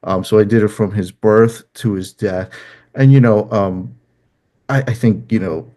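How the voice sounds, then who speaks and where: A man's voice: fairly low pitch, measured pace, warm tone. A middle-aged man with a New Jersey accent talking casually as an interview guest, somewhat hesitant and rambling, repeating himself as he goes. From New Jersey, United States. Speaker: musician and songwriter, interview guest.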